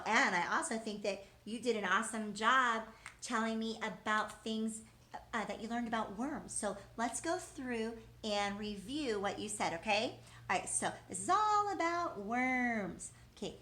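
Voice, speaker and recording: A woman's voice: mid-range voice, fairly bright tone. A woman teaching young children in an encouraging way, asking questions, answering them as if replying to the children and repeating key words. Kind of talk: interactive lesson for young children.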